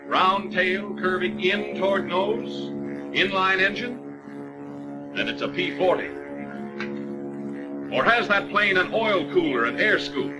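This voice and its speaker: An American man narrates a 1940s military training film in a colloquial, urging style full of questions. His voice is a little high and swings animatedly. A loud musical score plays behind him. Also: rough recording. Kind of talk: 1940s dramatic film narration addressed to the pilot.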